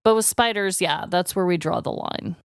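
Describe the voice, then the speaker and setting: A woman's voice: fairly low pitch, normal speed, gravelly, clean tone, no room sound, studio quality. A woman co-hosting a podcast in casual comedic chat, enthusiastic and playful. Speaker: podcast co-host.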